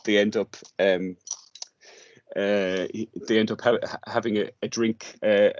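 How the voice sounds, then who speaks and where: A man with an Irish accent answering questions in an informal podcast interview, speaking haltingly, repeating words as he searches for the next one. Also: fairly low pitch, flat delivery, lo-fi sound, a little hiss or hum. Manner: hesitant, halting delivery with repeated words and long searching pauses. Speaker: interview guest, radio drama workshop director.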